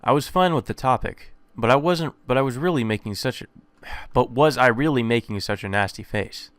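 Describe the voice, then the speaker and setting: A man's voice: mid-range voice, fairly quick, dry recording. A man reading a visual novel aloud as a let's player, narrating the text and sometimes correcting himself as he goes. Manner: stumbles over lines and corrects himself. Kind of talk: read-aloud narration and dialogue. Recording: background music under the voice. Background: game music.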